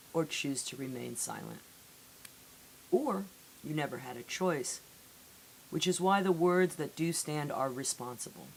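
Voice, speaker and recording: A woman reading poetry aloud in a solemn, deliberate way with measured phrasing and dramatic pauses, on an amateur recording. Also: deep voice, low-quality recording. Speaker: poetry reader. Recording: amateur recording with audible hiss.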